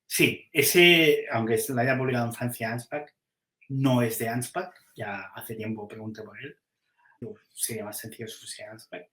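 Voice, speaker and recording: A man's voice: mid-range voice, fairly even intonation, moderate pace, fairly bright tone, reverberant, poor audio. A man, a comics publisher, chatting casually on a podcast and thinking aloud as he weighs which titles to publish, with frequent restarts.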